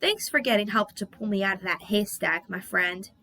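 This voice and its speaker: A woman's voice: mid-range voice, animated, normal speed, bright, forceful tone, voice pushed down. A woman voicing a breezy, boastful, eager male helicopter in a children's storybook, chirpy and exclaiming. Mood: grateful.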